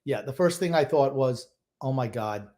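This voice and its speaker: A man's voice: mid-range voice, animated, moderate pace, darkish tone. An older man co-hosting a sports podcast, giving opinionated, sardonic takes in a casual, grumbling way.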